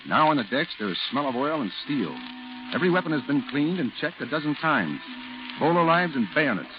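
A man's voice: medium pitch, lightly acted. Literate war diarist , male actor, earnest and dramatic, 1940s radio, dull sound with music behind. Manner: measured, descriptive, dramatic. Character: earnest, literate war correspondent narrating his diary.

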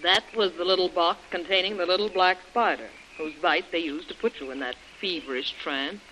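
Brisk adventuress in 1940s radio show, sharp bright voice, bit noisy. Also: female voice, fairly low pitch. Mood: assertive. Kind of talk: 1940s radio drama acting. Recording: noisy old radio broadcast recording.

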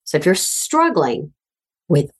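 A woman's voice: sits low, very animated, takes it slowly, breathy edge. A middle-aged woman hosting a talk show, telling a personal story in a candid, chatty way with self-deprecating asides.

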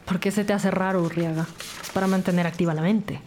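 A woman's voice: fairly low pitch, steady tone, fast, darkish tone, a little hiss or hum, natural voice. A woman playing a methodical, level-headed police detective in an audio drama. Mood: thoughtful.

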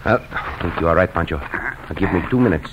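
An actor playing a dashing, teasing Mexican cowboy hero with a Mexican accent in an old-time radio western. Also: male voice, deep voice, lively intonation, a little fast, dull audio. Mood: urgent, commanding. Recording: noisy vintage radio recording.

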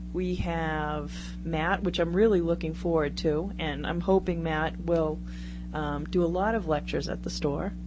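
A woman speaking casually as a guest on a radio talk show. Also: low voice. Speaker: guest, shop owner. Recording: noisy radio show audio with hum.

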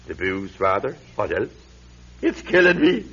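An actor playing a sickly, guilt-ridden old Irish drinker in a radio drama, halting and lamenting in an Irish accent. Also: male voice, on the low side, sing-song, fairly bright tone, breathy edge, heavily acted. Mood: ailing, pleading. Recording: muffled vintage radio recording, faint hum.

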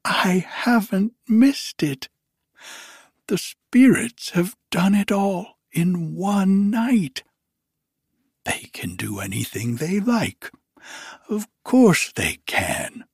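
A man voicing an elderly, formerly miserly man who is now giddy with delight, exclaiming in a high, breathless voice. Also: very animated, very slow, vocal fry, husky, dry recording, clean studio sound, full character voice. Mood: overjoyed.

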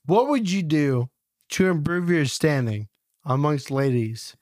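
A man's voice: a little high, lively intonation, unhurried, a little mellow, no echo, clean studio sound. A man in his twenties hosting a podcast, rambling and restarting as he chats casually. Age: 20s. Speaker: podcast host. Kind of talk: casual chat.

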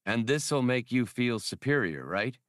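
A man's voice: medium pitch, expressive, measured pace, fairly bright tone, dry recording, studio quality. A man reading an audiobook, playing a roguish outlaw pilot who talks in terse, challenging bursts. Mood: defiant. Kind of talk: audiobook character dialogue.

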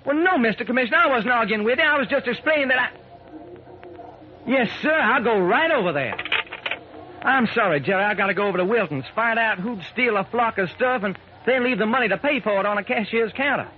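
A man's voice: extremely high pitch, animated, brisk, muffled recording. A man playing a plain-spoken, dutiful homicide lieutenant in an old-time radio drama. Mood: flustered, protesting. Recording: noisy, band-limited old radio broadcast.

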